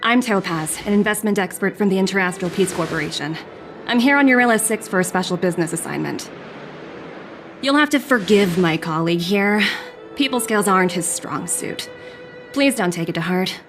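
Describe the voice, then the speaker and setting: A woman's voice: mid-range voice, expressive, normal speed, fairly bright tone. A poised corporate woman over music. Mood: courteous, composed. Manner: polished, self-assured. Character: poised, confident young businesswoman, courteous and slyly amused. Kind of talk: video game cutscene acting. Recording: game music behind the voice.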